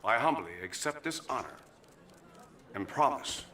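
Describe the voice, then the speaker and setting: An actor playing a pompous, folksy American vice president giving a podium speech in a video game cutscene, with an American accent. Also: male voice, medium pitch, expressive, measured pace, sharp tone. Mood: rousing, proud. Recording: echoey podium hall sound.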